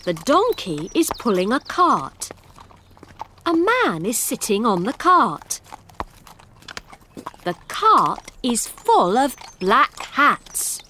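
A woman storyteller reads simple scripted narration for English learners. Her voice is fairly high and animated, with slow deliberate phrasing, over faint background noise. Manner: deliberate, expressive.

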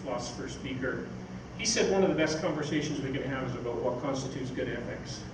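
A man's voice: mid-range voice, fast, far from the mic. Older man, rambling informal speaker, very echoey hall, noisy recording. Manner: rambling, anecdotal. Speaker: speaker, science fiction writer. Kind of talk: informal stage talk answering audience questions. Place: on stage in an event hall. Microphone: far camera microphone.

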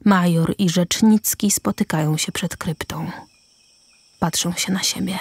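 A woman's voice: on the low side, fairly even intonation, unhurried, darkish tone, slightly rough, dry recording. A woman reading stage directions as the narrator of a scripted radio play.

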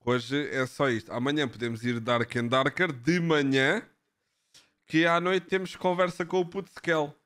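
A man's voice: a little high, steady tone, measured pace, fairly bright tone. A man in his thirties with a European Portuguese accent, hosting a live stream in casual, joking chat, swearing freely and laughing often, on a headset mic at home. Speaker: streamer host. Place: home streaming room, indoors.

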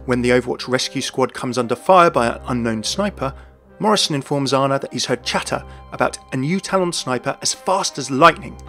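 Male narrator, mid-pitched and brisk, scripted game lore delivery over a faint music bed. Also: a bit bright.

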